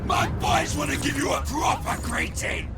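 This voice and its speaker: A man's voice: very high voice, wildly swooping pitch, normal speed, over loud music, heavily acted. A man voicing a huge, bloodthirsty, greedy orc warboss in a video game, bellowing crude threats. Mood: furious, threatening. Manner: bellowing, menacing threats in crude slangy speech. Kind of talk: video game villain acting.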